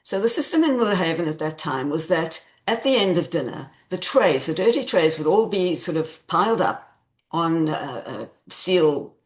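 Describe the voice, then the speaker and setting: A woman author in an interview, explaining things conversationally in a measured, reflective way with careful phrasing. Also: deep voice, very animated.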